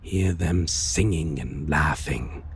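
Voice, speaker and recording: A man voicing an arrogant, taunting vampire in a dark fantasy audio roleplay, savoring his words theatrically with sinister amusement. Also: slow pace, slight background noise, exaggerated voice. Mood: sardonic, indignant. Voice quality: deep, gravelly, breathy at times. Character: taunting, arrogant male vampire lord, formerly a hunter.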